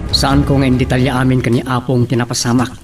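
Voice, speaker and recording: A man's voice: mid-range voice, level tone, quick pace, distant mic, over music, close to an everyday voice. A man with a Filipino accent playing a brave, respectful young man giving an earnest account in an audio drama. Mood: earnest, urgent. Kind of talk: Filipino radio-style drama acting.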